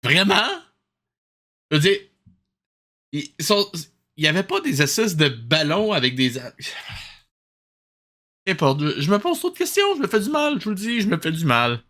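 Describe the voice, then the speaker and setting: A man's voice: on the high side, sing-song, a little slow, pauses often, sharp tone. A man in his thirties with a Québécois accent, hosting a sarcastic cartoon review, incredulous and self-mocking. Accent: Québécois French. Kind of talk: sarcastic reaction commentary.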